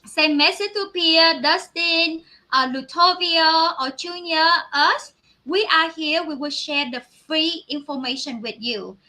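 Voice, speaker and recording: A woman hosting an online business pitch, speaking emphatically and repetitively in non-native grammar, like an enthusiastic testimonial. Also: measured pace, sharp tone. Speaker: host of online business promotion.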